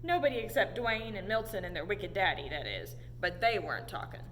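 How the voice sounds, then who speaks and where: A woman reading a storybook aloud in an animated storytelling style. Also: mid-range voice, fairly quick. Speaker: story time reader. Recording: echoey hall, audible background noise.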